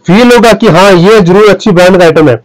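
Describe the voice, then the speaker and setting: A man in his thirties giving an emphatic, boastful sales pitch as a wholesale clothing seller. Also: fairly quick, poor audio. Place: small clothing wholesale shop, indoors. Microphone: clip-on lapel mic.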